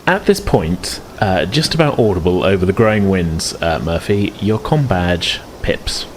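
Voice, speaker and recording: A man's voice: low voice, animated, a little slow, noisy background. A man working as game master, improvising narration for a tabletop game in a hesitant, hedging way. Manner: hesitant, hedging descriptions with "sort of".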